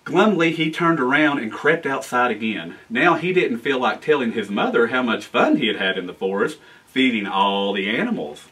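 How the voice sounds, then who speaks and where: An American man in his forties reading a children's storybook aloud in an animated, expressive way. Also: medium pitch, normal speed, distant mic. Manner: animated, expressive reading with asides to young listeners. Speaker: guest storyteller, town official. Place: library room with bookshelves, indoors.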